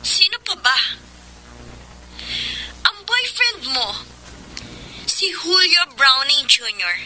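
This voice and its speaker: A woman's voice: high voice, very animated, slow pace, pushed and bright, a little hiss or hum, exaggerated voice, rough recording. An actress with a Filipino accent playing a wronged, emotional woman in a love triangle in a radio drama. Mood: defiant.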